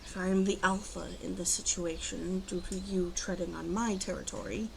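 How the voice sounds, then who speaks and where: A woman playing a protective, commanding female werewolf in an ASMR roleplay, talking slowly and deliberately to the listener in a soft, guarded way. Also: lowish voice, fairly even intonation, vocal fry, a little hiss or hum, rough recording. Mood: calm, conceding.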